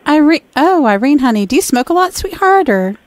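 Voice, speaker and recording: A woman's voice: high voice, big pitch swings, brisk. A woman co-host making a prank call in a sugary, teasing manner, calling the other person honey and sweetheart.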